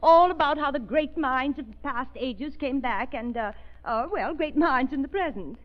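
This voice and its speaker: A woman's voice: high voice, moderate pace, close to an everyday voice. An actress playing a flighty, gushing society woman in a 1930s radio drama, chattering in a breathless way. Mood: chatty. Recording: dull scratchy 1930s radio broadcast.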